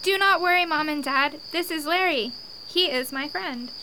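Radio drama child, played by a woman: high, stubborn, earnest, oddly formal delivery on a noisy recording. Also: moderate pace, close to an everyday voice. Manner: stilted, formal speech without contractions. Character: earnest, stubborn little girl adopted by aliens. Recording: noisy, with cricket-like background.

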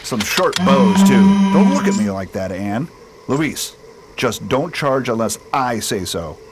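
A man's voice: mid-range voice, big pitch swings, measured pace, background noise. A man playing a wry, composed space expedition leader in a science fiction radio drama, speaking casually. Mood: curious, wry.

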